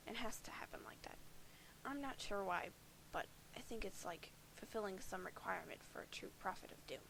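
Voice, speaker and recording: Gravelly whispery troll boy, crude and sulky, doom prophet vibes, woman doing it. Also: medium pitch, close to an everyday voice, low-quality recording. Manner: foul-mouthed, sardonic, defensive.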